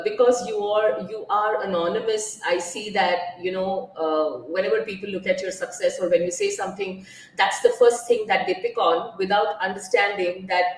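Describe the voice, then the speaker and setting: A woman from India, a nutrition guest talking openly and personally in a casual interview, often pausing on "uh" and restarting her sentences. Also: medium pitch, recorded from a distance, slight background noise. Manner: open and personal, with frequent "uh" fillers and restarts. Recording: echoey room, voice set back from the mic.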